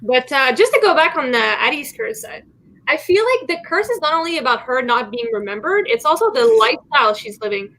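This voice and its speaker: High, bright, animated young-sounding woman. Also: brisk, poor audio. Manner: animated, fills talk with "like". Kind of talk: casual book-club chat.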